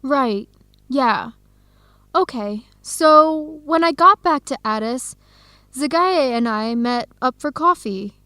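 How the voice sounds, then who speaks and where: An actress playing an earnest young Ethiopian-student in a radio drama. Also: female voice, high-pitched, lively intonation, takes it slowly, bright, forceful tone, dry recording, heavily acted. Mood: explaining, defensive. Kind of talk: radio drama acting, simulated video call.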